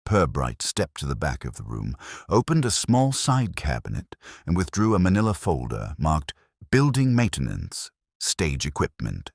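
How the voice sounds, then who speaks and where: A man narrating a scripted mystery story in a measured, literary storytelling manner. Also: rumbling low, wildly swooping pitch, gravelly, husky, dry recording, studio quality.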